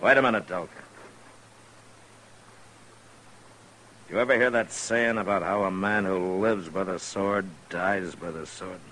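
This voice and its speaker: An American actor playing a stern, weary frontier marshal in a 1950s radio western, terse, blunt and grave. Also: male voice, low voice, normal speed, a bit bright, poor audio. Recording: old radio recording with faint noise.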